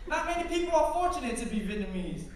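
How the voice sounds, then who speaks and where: High-voiced young man performing spoken word in an echoey hall. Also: big pitch swings, quick pace. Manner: rhythmic rhyming delivery with punchy wordplay; casual, joking asides to the crowd. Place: stage at a campus culture event. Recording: echoey hall, distant mic, background noise. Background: audience ambience and laughter.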